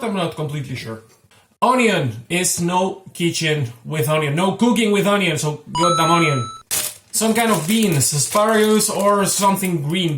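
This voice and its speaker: Man, casual joking cooking host, rambly and self-correcting, echoey home kitchen. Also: on the high side. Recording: roomy kitchen with faint noise.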